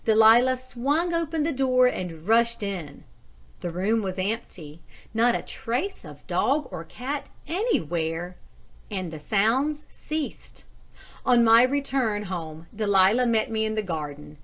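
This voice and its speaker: A woman's voice: on the high side, lively intonation, takes it slowly, fairly bright tone. A woman reading scripted narration, telling a ghost story written as a diary. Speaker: audiobook narrator.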